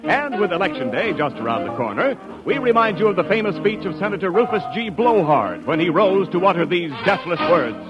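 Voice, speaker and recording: An animated, high-pitched American man reads as a 1940s radio announcer. Music plays clearly behind him, and the old recording is dull and muffled. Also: brisk, few pauses.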